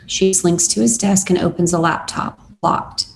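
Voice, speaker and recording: A woman's voice: lowish voice, fairly even intonation, moderate pace, creaky, slight background noise. A woman reading screenplay stage directions as scripted narration at a table read.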